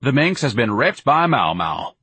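A man's voice: mid-range voice, sing-song, moderate pace, bright, forceful tone, husky, no room sound, voice pushed up, full character voice. A man voicing a pompous, bellowing, bombastic man-child. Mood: scornful. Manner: grandiloquent, haughty, theatrical declaiming. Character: pompous, bellowing, bombastic grown son, lazy and indignant.

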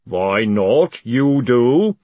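A man voicing a huge, impassive golem in an audiobook, with a slow, calm, rumbling and formal delivery. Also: dry recording, lo-fi sound, exaggerated voice. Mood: calm, accusing.

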